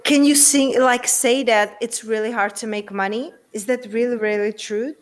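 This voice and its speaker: A woman's voice: a little high, steady tone, normal speed. A woman with a Czech accent, talking earnestly as a guest in a casual interview. She keeps posing rhetorical questions to the listener. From Czech Republic.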